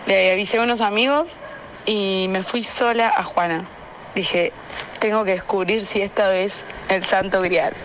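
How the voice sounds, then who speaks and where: A woman's voice: medium pitch, fairly even intonation, a little slow, fairly bright tone, poor audio. Argentine woman, mid-range voice, frank and slangy storyteller, voice message with faint noise behind. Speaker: listener sending an audio message. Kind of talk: casual personal anecdote. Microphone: phone voice message.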